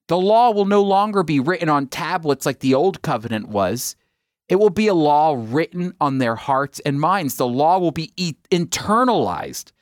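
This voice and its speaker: An earnest man teaching scripture, his voice sitting slightly high for a man. He stresses key phrases by slowing down. The sound is close and dry. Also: no room sound. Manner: earnest, emphatic, slows to stress key words. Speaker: Bible teacher.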